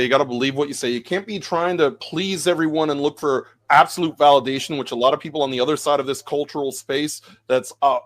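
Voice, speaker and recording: A man with a somewhat high voice speaks earnestly on a panel. His tone turns preachy and firm as he drives his point home. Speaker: panel guest. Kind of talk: casual panel chat.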